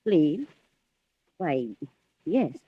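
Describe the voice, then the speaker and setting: A dull vintage radio recording of a husky-voiced woman as a loyal housekeeper. She is deferential and flustered, her pitch jumping about as she hesitates. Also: big pitch swings, extremely slow, dry recording, heavily acted. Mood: flustered, reluctant. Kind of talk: old-time radio thriller acting.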